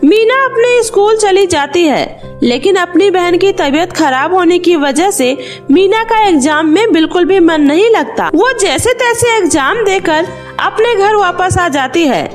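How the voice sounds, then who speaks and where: Very high-pitched woman storyteller, music behind. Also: lively intonation, a little slow. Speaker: story narrator. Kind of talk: scripted children's story narration.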